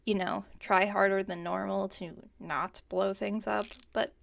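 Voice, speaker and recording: A woman's voice: medium pitch, steady tone, measured pace, creaky, fairly bright tone, slight background noise. A woman playing a playfully defensive, stubborn villain inventor in an ASMR roleplay, chatting and teasing with mock innocence. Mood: chatty, casual. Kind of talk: ASMR roleplay, one-sided conversational acting.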